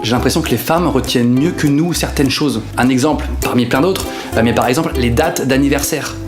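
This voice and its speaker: A man's voice: medium pitch, brisk, echoey room, music in the background. A man from northern France, a comedian talking casually to camera in a self-mocking way and swearing freely. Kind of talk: comic sketch narration to camera.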